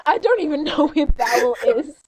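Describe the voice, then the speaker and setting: A woman's voice: high voice, expressive, normal speed. A woman chatting casually and reading aloud informally with a friend, giggly and often breaking off to react. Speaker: podcast co-host reading fan fiction aloud.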